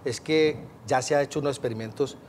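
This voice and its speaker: A man's voice: medium pitch, fast talker, slight background noise. A man explaining things in an interview, friendly and speaking straight to the host. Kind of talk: interview answers.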